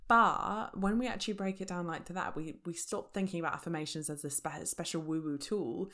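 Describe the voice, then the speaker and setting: A woman talks chattily to her podcast listeners. She laughs often, leans on fillers, and sounds slightly croaky from a sore throat. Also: fairly low pitch, brisk, fairly bright tone. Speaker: podcast host. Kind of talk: casual solo podcast talk.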